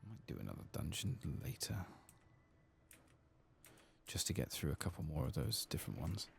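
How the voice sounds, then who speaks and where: A soft-spoken man with a very low, gravelly voice murmurs his way through a video game as ASMR commentary. His pitch moves around freely, and a faint noise sits behind him. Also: big pitch swings, moderate pace. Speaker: gameplay streamer.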